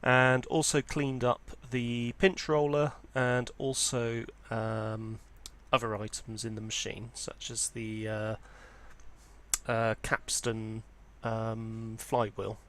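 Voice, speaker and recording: A man's voice: mid-range voice, flat delivery, slow, a bit bright. A man presenting an informal, unscripted walkthrough as a hobbyist, explaining things in a hesitant, hedging way. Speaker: presenter of a vintage audio hobby channel.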